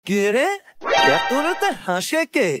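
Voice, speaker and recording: Angry cartoon householder threatening, highish swoopy voice, loud music. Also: female voice, sing-song, slow pace. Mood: furious. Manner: threatening, exclaiming. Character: furious householder thrashing a thief. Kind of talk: comic cartoon dubbing.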